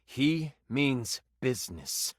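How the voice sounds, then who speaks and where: An actor playing a nerdy, eager and bashful game master in a video game, with an expressive, theatrical, self-conscious way of talking. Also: male voice, medium pitch, takes it slowly, no echo, clean studio sound. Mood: suspenseful narrating. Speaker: tabletop game master character. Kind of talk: animated storytelling in a dating video game.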